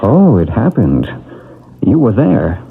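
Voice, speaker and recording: Husky, commanding male hypnotist. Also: on the low side, wildly swooping pitch, heavily acted. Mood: calm, assured. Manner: measured, soothing, authoritative. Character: calm, commanding hypnotist guiding a past-life journey. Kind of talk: 1970s radio drama acting. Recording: narrow-band, slightly muffled old radio broadcast.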